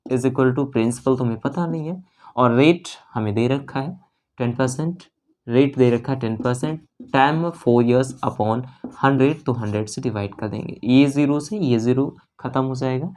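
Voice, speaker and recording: An Indian maths teacher with a medium-pitched voice takes learners through an exam problem. The delivery is explanatory and methodical, going one step at a time. Key numbers and phrases get repeated, English maths terms are mixed in, and short check-ins come often. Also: moderate pace, warm tone.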